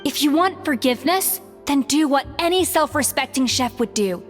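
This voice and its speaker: A woman's voice: sits high, lively intonation, normal speed. A woman voicing a proud, exacting young head chef in a video game, speaking in a precise, commanding and theatrical way. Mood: stern scolding. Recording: long reverb with music behind.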